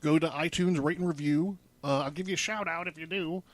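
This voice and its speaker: High-pitched, irreverent male podcast host. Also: fairly even intonation, a little fast, dry recording. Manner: irreverent, profane, slangy. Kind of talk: casual, joking podcast chat. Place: another person's studio.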